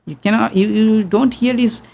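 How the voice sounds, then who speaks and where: A young man teaching sound engineering in an online workshop, talking casually and humming low tones to demonstrate, close to a studio mic in a small room. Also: steady tone, normal speed, vocal fry, a little mellow. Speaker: audio engineering instructor. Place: small room with green backdrop. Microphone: studio condenser mic on boom arm.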